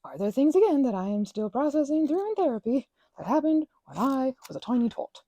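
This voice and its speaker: A woman's voice: high-pitched, fairly quick, no room sound. A young woman chatting casually while she crafts, rambling with sarcastic asides, recorded close on a microphone. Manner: rambling, animated, with mocking put-on voices and sarcasm. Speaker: ASMR channel host.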